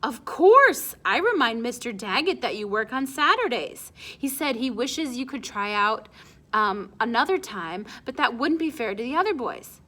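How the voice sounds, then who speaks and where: A woman's voice: a little high, very animated, moderate pace, sharp tone, full character voice. Woman acting a proud, stern, emphatic father. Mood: firm, lecturing. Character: proud, stern, hard-working immigrant father of a boy.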